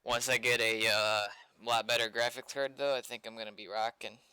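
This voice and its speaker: A man's voice: mid-range voice, flat delivery, brisk, pushed and bright, clean tone, dry recording, poor audio. A man in a casual gaming livestream, rambling and thinking aloud, close on the microphone. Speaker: game server developer and streamer.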